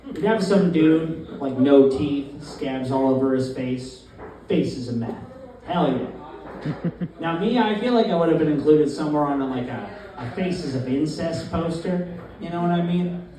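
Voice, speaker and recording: Halting young man in echoey club. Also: on the high side, a little hiss or hum. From Newfoundland, Canada. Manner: deadpan, halting, with many fillers. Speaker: stand-up comedian, first set. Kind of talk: open-mic stand-up comedy. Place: small comedy club, in front of a live crowd. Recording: very echoey club, distant mic, crowd. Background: audience laughter.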